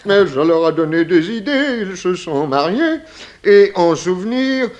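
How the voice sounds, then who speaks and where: An actor playing a fussy, pompous, doddering gentleman lodger, stammering and mannered, in a 1960s French radio detective comedy. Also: high voice, lively intonation, measured pace, dull audio. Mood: apologetic, rueful.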